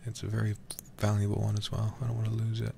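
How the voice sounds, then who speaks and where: A man streaming a game ASMR-style, murmuring casual, sweary commentary in a hushed, whispery, gravelly voice close to the mic. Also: fairly low pitch, completely flat delivery, fast, a little hiss or hum.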